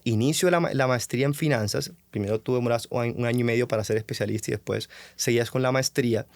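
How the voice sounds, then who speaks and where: Fast-talking man telling his story. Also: medium pitch, vocal fry, dry recording. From Colombia. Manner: animated, acts out his own past self-questioning. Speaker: podcast host. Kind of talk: motivational podcast storytelling.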